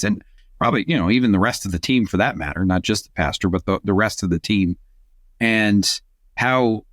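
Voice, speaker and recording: A man's voice: lowish voice, dry recording, studio quality. A man giving reflective, conversational advice on a podcast, often repeating words as he builds a point. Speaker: podcast panelist, church leadership coach.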